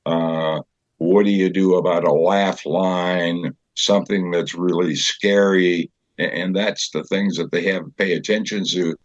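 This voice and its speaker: An older man chatting as an interview guest, telling anecdotes in a halting, conversational storyteller's way. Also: low voice, steady tone, dry recording. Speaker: guest, community theater director and actor. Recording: slightly dull, dry recording.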